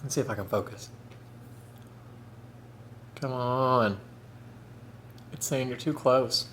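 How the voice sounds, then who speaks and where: A man's voice: mid-range voice, slow, fairly bright tone, a little hiss or hum. Man in his thirties, camp, chatty food vlogger, often talks with his mouth full. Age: 30s. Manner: chatty, camp, says "y'all" and "honey". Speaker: mukbang vlogger. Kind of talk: casual chat while eating.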